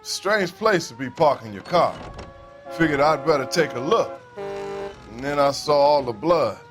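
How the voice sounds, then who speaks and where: Higher-voiced folksy railway man. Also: lively intonation, a little slow, over music. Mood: matter-of-fact. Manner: folksy, colloquial, matter-of-fact. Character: folksy, plain-spoken male railway worker, restless witness. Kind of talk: cinematic game acting.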